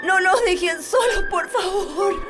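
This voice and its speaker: A woman's voice: very high voice, unhurried. A Chilean actress playing a frightened pregnant woman in labour, pleading and groaning through pain in a radio drama. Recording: music behind the voice.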